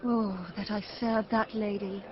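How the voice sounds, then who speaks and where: An actress playing an earnest, resolute young shipwrecked gentlewoman, speaking formal verse in a Shakespeare radio drama. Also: medium pitch, level tone, measured pace, lightly acted. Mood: earnest resolve. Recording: muffled, faint ambience behind.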